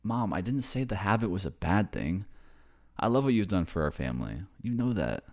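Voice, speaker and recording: A man performs an affectionate boyfriend in an ASMR roleplay. His voice sits low, with a gentle, casual delivery. He reassures and explains like someone talking to a partner he loves. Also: sing-song, moderate pace. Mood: tender, explaining. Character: affectionate young boyfriend raised by a ghost family.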